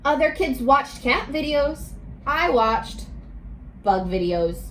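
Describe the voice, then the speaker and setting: Female teacher for a kids' read-aloud: unhurried delivery, big expressive swings, invites children to answer. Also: high-pitched, a little hiss or hum. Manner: expressive, exclamatory, coaxing children to respond. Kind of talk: animated children's read-aloud with questions to listeners.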